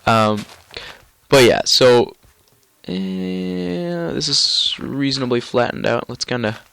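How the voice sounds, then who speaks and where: A man's voice: medium pitch, expressive, slow, gravelly, a bit bright, clean tone. A young man with a stuffy, nasal cold, rambling casually through gameplay commentary with lots of ums and restarts. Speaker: gaming YouTuber.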